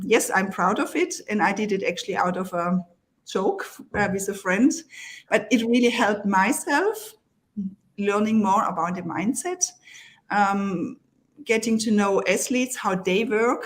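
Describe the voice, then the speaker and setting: A German woman speaking English with a German accent as a coach in a live online panel, hesitant, often restarting and laughing. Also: medium pitch. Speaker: coach and guest. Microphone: video call.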